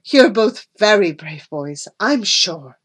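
A woman's voice: animated, slow, husky. A woman reading aloud and voicing a kindly foster auntie who whispers, fusses and exclaims. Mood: reassuring. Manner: hushed at times, then shrill exclaiming.

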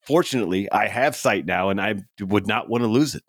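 A man's voice: lowish voice, fairly quick, no echo, clean studio sound. A man co-hosting a casual podcast chat, talking in an easygoing way with frequent "you know". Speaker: podcast co-host.

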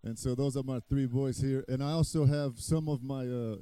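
Middle-aged guy from brazil preaching, very mellow dark voice, stops and restarts a lot then gets loud. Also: medium pitch, fairly even intonation, clear, resonant tone, no room sound. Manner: halting with many restarts, rising to loud emphatic preaching. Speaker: guest pastor. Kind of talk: sermon.

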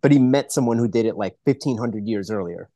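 A man in his forties co-hosting a casual movie podcast, joking and animated. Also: lowish voice, a little fast, no room sound, studio quality. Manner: joking, animated, acts out quotes. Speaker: podcast co-host. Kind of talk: casual movie-review chat.

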